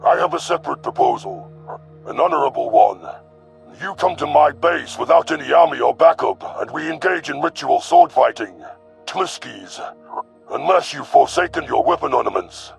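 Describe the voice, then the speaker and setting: A man voicing a cruel, arrogant young alien predator commander in an audio story, with a growling, gravelly voice and haughty, formal menace. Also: on the high side, expressive, moderate pace, faint music behind, voice pushed up. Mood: scornful challenge.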